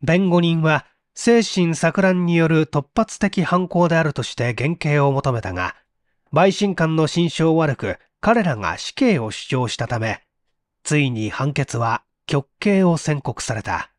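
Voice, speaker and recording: A man's voice: on the high side, expressive, a little slow, no room sound, clean studio sound. A man reading a mystery story aloud as dramatic scripted narration. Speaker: audiobook reader.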